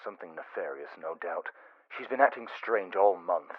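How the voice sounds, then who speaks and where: A man's voice: sits low, animated, moderate pace, no echo, dull audio. A man playing an impatient, suspicious mission handler who gives urgent orders over a radio communicator in a sci-fi drama. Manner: urgent, commanding. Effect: radio communicator filter. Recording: band-limited radio comms sound.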